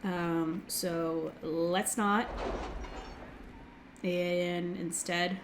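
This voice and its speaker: A young woman hosting a game let's play, slightly hoarse as she recovers from a throat infection, chatting casually and reading game text aloud. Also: lowish voice, fairly even intonation, noisy background. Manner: reads game text aloud quickly, filler-heavy. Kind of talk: casual gameplay commentary.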